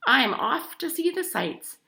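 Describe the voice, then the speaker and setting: A woman voicing a bold, cheery, mischievous old grandma from a storybook, with hearty, brisk exclamations. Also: high-pitched, sing-song, gravelly, sharp tone, pitched above the performer's own voice, heavily acted. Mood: chirpy, determined.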